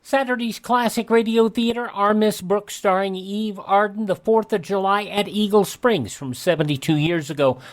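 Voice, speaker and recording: A woman's voice: mid-range voice, normal speed, few pauses. A woman announcer giving a scripted radio programme introduction.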